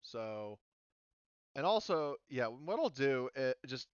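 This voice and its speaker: A man running a tabletop roleplaying game as game master, casual and halting as he thinks aloud. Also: medium pitch, very animated, clean tone, dry recording, muffled recording.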